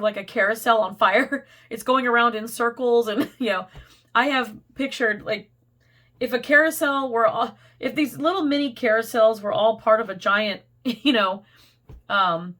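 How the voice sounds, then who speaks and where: A woman giving a casual, rambling tarot reading, laughing often and losing her voice to a cold. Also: fairly bright tone. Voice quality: getting squeaky. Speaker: tarot reader.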